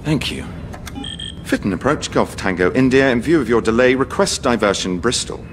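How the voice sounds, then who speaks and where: A man's voice: medium pitch, lively intonation, normal speed, very noisy, lightly acted. Man playing a pompous, flustered English pilot. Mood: officious. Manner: officious, defensive. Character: pompous, insecure, easily flustered young male pilot. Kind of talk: radio sitcom acting.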